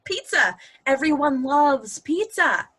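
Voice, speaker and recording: A woman's voice: high voice, expressive, slow pace, fairly bright tone, exaggerated voice. A woman acting a sardonic, needling relative with dry, pointed sarcasm in a stage-play table read.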